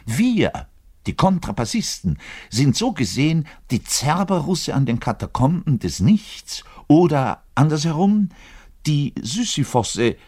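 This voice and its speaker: A man playing a lonely, bitter, self-pitying middle-aged double bass player in a grandiloquent theatrical radio monologue. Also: sing-song, unhurried, darkish tone. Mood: grandiose, indignant. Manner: grandiloquent, swinging between outbursts and muttering. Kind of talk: theatrical monologue addressing the listener.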